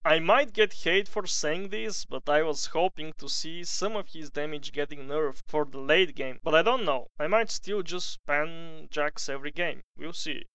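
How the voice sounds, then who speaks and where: A man's voice: fairly high pitch, normal speed, few pauses, fairly bright tone, clear, resonant tone, no echo. A man with a Bulgarian accent giving casual, opinionated voice-over commentary on a game update, now and then swearing.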